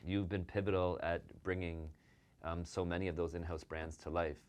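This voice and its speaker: A man's voice: low voice, little pitch movement, normal speed, darkish tone. A man hosting an interview, speaking casually and praising his guest with many fillers. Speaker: show host and agency owner.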